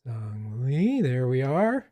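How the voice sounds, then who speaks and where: A man from Japan hosting a coding livestream as a coach, chatting casually and haltingly as he thinks aloud. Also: mid-range voice, very animated, mellow, no room sound.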